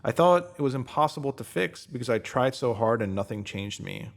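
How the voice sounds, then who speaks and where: A man's voice: medium pitch, animated, brisk, gravelly. A man reading a self-help post as scripted narration, in an earnest, emphatic manner.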